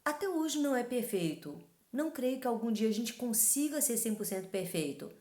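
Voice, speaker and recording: A woman's voice: on the high side, sing-song, moderate pace, dark tone. Woman in a roomy space. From Taiwan. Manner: conversational, frequent tag questions. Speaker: host. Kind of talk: talking-head advice talk. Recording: roomy reverberant room.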